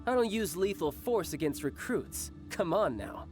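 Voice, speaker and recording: An actor playing a confident, playful young warrior and doting older brother in a video game, with a theatrical, teasing manner. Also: male voice, high-pitched, big pitch swings, normal speed. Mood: cheerful, confident. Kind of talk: video game character acting. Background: game music.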